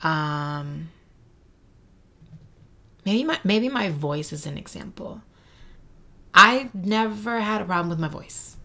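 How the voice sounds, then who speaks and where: A woman's voice: fairly low pitch, lively intonation, slow, pauses often, sharp tone. A woman guest in an interview, talking thoughtfully and searching for words with frequent fillers. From Mexico City and San Antonio, Texas. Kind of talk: reflective interview conversation.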